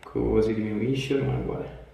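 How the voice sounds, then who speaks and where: A man's voice: mid-range voice, fairly even intonation, fairly quick, creaky, mellow. A man, a chiropractor giving casual instructions in short phrases during treatment. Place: small bare treatment room, indoors. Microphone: wireless clip mic on scrubs top. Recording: echoey room, distant, faint noise.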